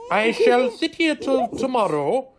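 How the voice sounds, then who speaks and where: A dim, stubborn frog footman, acted by a woman in a radio play. The voice is fairly high and swooping, rambling on with pedantic, useless logic. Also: big pitch swings, measured pace, over a noisy crowd. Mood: stolidly unhelpful. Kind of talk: theatrical radio play acting.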